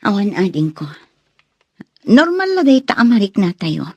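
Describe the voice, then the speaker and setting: A woman's voice: mid-range voice, big pitch swings, normal speed, no room sound. A woman playing a protective, firm elder sister with an Ilocano accent in a radio drama. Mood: firm, earnest.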